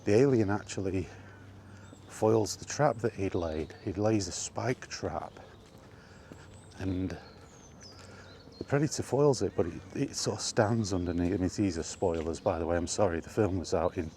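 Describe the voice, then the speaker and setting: Male vlog host, deep-pitched, conversational and casual, talking straight through with asides, faint ambient noise in the background. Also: normal speed, mellow. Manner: conversational, rhetorical questions and asides. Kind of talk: casual film chat monologue.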